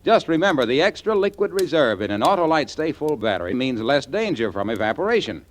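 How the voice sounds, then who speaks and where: A man's voice: fairly high pitch, sing-song, fairly quick, a little hiss or hum. A man doing a folksy, salesy comic radio commercial in the 1940s style. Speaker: commercial pitchman.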